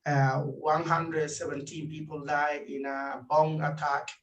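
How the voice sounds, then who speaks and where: Colombian man, guest speaker, far from the mic on a video call, halting. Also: fairly high pitch, flat delivery, fairly bright tone, muffled recording. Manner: halting, many fillers like "you know". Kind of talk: casual conversational explanation.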